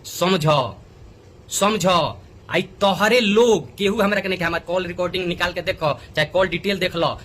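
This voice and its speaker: A man's voice: high-pitched, normal speed, a bit bright. A man with a Bhojpuri accent making an indignant, pleading direct appeal, often repeating himself for emphasis. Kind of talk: agitated direct appeal in a video.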